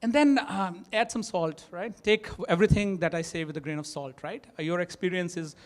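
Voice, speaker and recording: A man's voice: sits high, a bit bright, smooth. A man with a thick Indian accent giving a live conference talk on stage, speaking with many fillers, restarts and "right?" tags. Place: conference stage before an audience.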